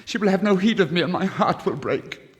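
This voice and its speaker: A man's voice: sits high, expressive, brisk, voice pushed up. A man playing a lovesick, despairing young student in a fairy tale, with melodramatic, lamenting 1950s storytelling delivery. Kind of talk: 1950s literary story record acting. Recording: vintage vinyl record, long reverb.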